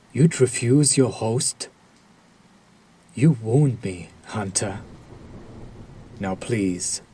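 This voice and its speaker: Vampire lord male actor, audio drama: mellow, unhurried, courtly, sadistic and seductive. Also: mid-range voice, husky, exaggerated voice. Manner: courtly, seductive, slow and deliberate. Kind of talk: roleplay audio drama, addressing the listener.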